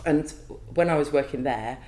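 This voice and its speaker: A woman's voice: low-pitched, normal speed, a little mellow, slight background noise. A woman guest on a podcast, talking openly and thoughtfully in a casual interview, with frequent ums and restarts. She is not from Wales. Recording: echoey room.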